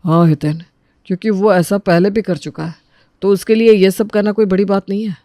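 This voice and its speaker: A woman's voice: medium pitch, steady tone, a little fast, mellow, no echo, studio quality, natural voice. Woman as a caring, worried young family man. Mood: concerned. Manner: earnest, soothing. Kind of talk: Hindi family audio drama acting.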